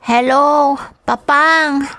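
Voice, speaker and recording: A woman's voice: high-pitched, steady tone, drawn-out words, sharp tone, dry recording, exaggerated voice. A woman playing a dutiful young granddaughter in a radio drama, respectful and youthful, with an Ilocano accent. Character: dutiful young granddaughter, obedient but a little reluctant. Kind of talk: Filipino radio drama acting.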